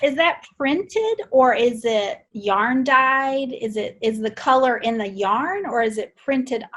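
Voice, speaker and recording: A woman's voice: on the high side, animated, slow pace, fairly bright tone. A woman hosting an interview show, introducing her guest with enthusiasm and asking questions. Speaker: interview host. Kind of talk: upbeat show host.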